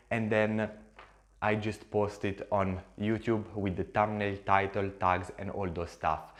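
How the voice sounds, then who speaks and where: A man with an Italian accent talks straight to camera in English, his second language. His voice sits fairly low. He is earnest and repeats himself, with frequent fillers and restarts. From Switzerland. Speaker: YouTuber and agency owner. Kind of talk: casual talk to camera.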